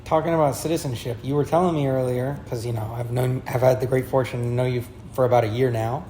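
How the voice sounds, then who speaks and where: A young man hosting a podcast, asking questions in a relaxed, joking way. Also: medium pitch, fast talker, a little hiss or hum, low-quality recording. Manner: friendly, jokey questioning. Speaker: podcast host. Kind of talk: casual interview chat.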